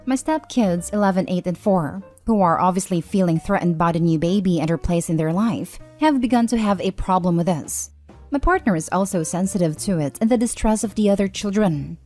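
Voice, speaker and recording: A woman reading online stories aloud as a scripted narration, with measured, neatly enunciated delivery. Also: lowish voice, darkish tone. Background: background music.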